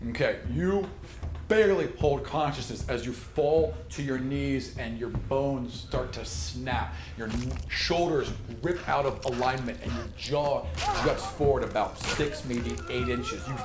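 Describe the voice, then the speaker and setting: A man's voice: mid-range voice, measured pace. Man narrating a tabletop game, roomy sound. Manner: dramatic storytelling with spoken sound effects. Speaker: game master. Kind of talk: narrating a tabletop game, improvised scene description. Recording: roomy, with clear background noise.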